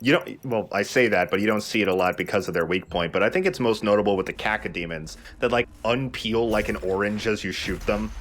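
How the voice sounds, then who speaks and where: Low-voiced man joking over a game. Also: brisk, hardly any pauses, fairly bright tone. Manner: joking, profane. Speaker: let's play host playing a video game. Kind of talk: casual gaming commentary with banter.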